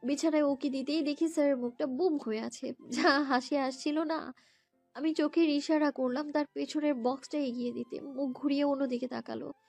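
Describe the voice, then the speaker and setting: A woman narrating a romantic story in the first person, in a girlish, expressive, dramatised way. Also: high voice, moderate pace, creaky, no room sound. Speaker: narrator and lead voice actor. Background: background music.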